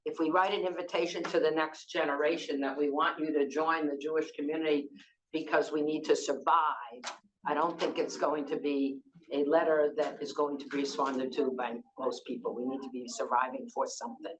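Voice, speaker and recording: A woman's voice: sits low, steady tone, moderate pace, lo-fi sound, a crowd in the background. A woman in her sixties with a Boston accent, moderating a conference panel in a loose, conversational way with frequent fillers and restarts. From Boston, United States.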